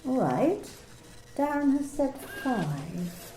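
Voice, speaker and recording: A woman playing a coolly clinical office manager in an amateur radio play, asking personal questions in a calm, matter-of-fact, bureaucratic way. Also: high-pitched, drawn-out words, echoey room, a little hiss or hum, heavily acted. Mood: businesslike.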